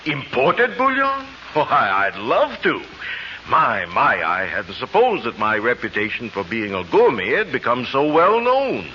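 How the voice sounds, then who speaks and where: An actor playing a self-important banker with florid, affected speech, in 1940s radio comedy. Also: male voice, mid-range voice, sing-song, a little slow, bright, forceful tone, slight background noise. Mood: pompous. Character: pompous genteel male banker, self-important. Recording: lo-fi old radio broadcast.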